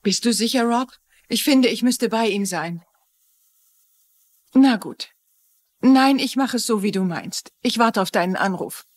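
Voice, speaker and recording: An actress playing an anxious, loyal wife with an earnest, worried manner in a dubbed TV mystery. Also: female voice, fairly high pitch, unhurried, long pauses.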